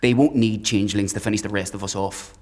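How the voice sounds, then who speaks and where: An actor playing a menacing, fanatical hunter in a dark fantasy audio drama, speaking in a deliberate, taunting, theatrical way. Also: male voice, fairly low pitch, natural voice. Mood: cold menace. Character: menacing male fanatical hunter, coldly articulate, mocking.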